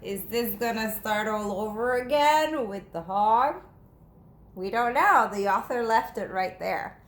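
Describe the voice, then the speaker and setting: Female teacher, higher voice, unhurried and expressive storybook read-aloud for young children, faint noise behind. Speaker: teacher reading to second graders.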